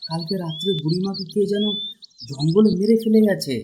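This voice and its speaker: A man's voice: high-pitched, brisk, noisy background, close to an everyday voice. A man voicing a worried, earnest village man in a Bengali cartoon, sounding concerned and puzzled. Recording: music behind the voice.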